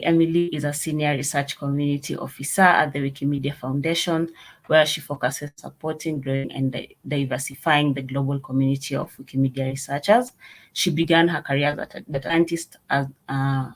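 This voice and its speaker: A meetup host reading out speaker introductions in a halting way, with restarts and fillers. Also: mid-range voice, little pitch movement.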